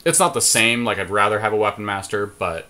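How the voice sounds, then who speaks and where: Male podcast co-host, low-pitched, conversational, talking through game decisions aloud in a casual commentary style. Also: fairly quick, pushed and bright.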